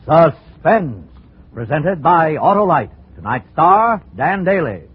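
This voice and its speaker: Animated, husky American radio announcer man. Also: fairly high pitch, takes it slowly. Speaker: program announcer. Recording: muffled, band-limited old radio with faint music.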